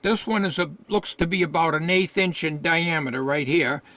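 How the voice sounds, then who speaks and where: A man giving an informal, unscripted hands-on repair demonstration, thinking aloud in a halting way. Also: a little high, fairly even intonation, bright, forceful tone.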